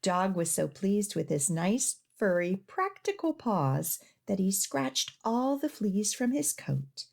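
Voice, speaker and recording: A woman children's librarian reading stories aloud and giving simple instructions in an expressive, deliberate way. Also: medium pitch, creaky. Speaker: children's librarian hosting storytime. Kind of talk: read-aloud storytelling for young children.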